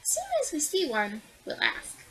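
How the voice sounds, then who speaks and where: Storybook rabbit father, kind and patient, read by a woman; bright, lively voice. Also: sits high, normal speed. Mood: kindly. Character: kindly, gentle rabbit father.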